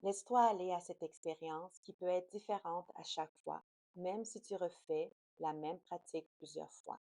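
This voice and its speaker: A woman guiding a yoga nidra relaxation in a soothing, slow, unhurried manner. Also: on the low side, steady tone, warm tone, dry recording, rough recording. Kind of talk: guided relaxation meditation.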